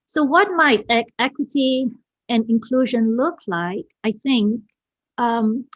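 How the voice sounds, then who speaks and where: The recording is a little dull and very dry, as on an online call. A woman from Malaysia speaks in a fairly high voice as host and presenter. She is informal and hesitant, circling back over phrases and checking that listeners follow. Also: takes it slowly, no room sound. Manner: hesitant, repeats phrases, often checks in with "right?". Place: online video meeting.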